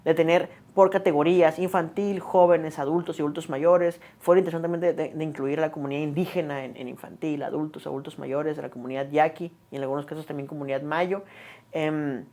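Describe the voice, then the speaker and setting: A woman's voice: sits low, steady tone, a little fast, mellow. A woman explaining a community project, often searching for words and repeating herself. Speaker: project organizer.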